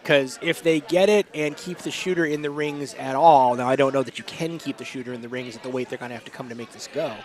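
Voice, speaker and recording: A man's voice: medium pitch, fairly even intonation, fast, few pauses. A man from New England doing casual, wry play-by-play commentary on a curling match. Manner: chatty, wry, stumbles over names.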